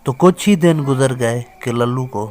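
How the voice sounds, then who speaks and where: A man's voice: medium pitch, moderate pace, darkish tone. A man reading scripted horror fiction as a storyteller.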